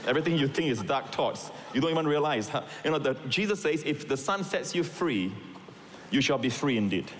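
Pastor guy, fairly high voice, preaching emphatically in a big echoey church hall. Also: lively intonation, brisk, fairly bright tone, a little hiss or hum. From Singapore, raised in Malaysia. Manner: emphatic preaching with asides and calls to the congregation. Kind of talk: sermon. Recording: long reverb in a large hall.

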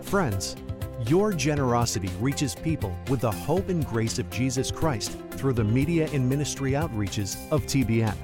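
A man reading a smooth, measured promotional voice-over. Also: mid-range voice, animated. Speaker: announcer. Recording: voice-over with music bed.

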